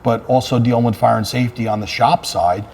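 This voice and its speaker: A man's voice: medium pitch, fairly even intonation, brisk, vocal fry, a little mellow. A man speaking as a fire chief being interviewed, talking about his work in an earnest, conversational way and repeating phrases for emphasis.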